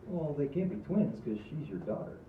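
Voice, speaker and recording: A man's voice: fairly high pitch, animated, moderate pace, natural voice. A man as blunt private investigator, echoey. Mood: matter-of-fact. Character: male private investigator, blunt older brother. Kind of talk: comedy table read. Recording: echoey, distant, tinny, very noisy recording.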